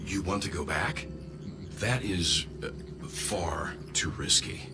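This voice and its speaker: An actor playing a steady, caring middle-aged mentor in a video game, speaking in a measured, considerate way. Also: male voice, low-pitched. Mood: concerned, resigned. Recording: loud music under the voice.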